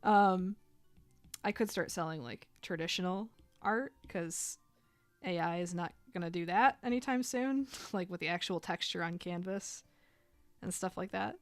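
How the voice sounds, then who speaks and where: A woman's voice: fairly low pitch, measured pace, no echo, soft background music. A woman in her thirties chatting casually while drawing on a live stream, rambling and filling gaps with "like". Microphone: desk microphone.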